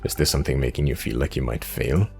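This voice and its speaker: A man playing a patient, dry-humoured tavern keeper in a visual novel, speaking in a measured, deadpan way. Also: rumbling low, vocal fry, pitched below the performer's own voice. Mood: dry, gentle. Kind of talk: visual novel character read-aloud. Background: music.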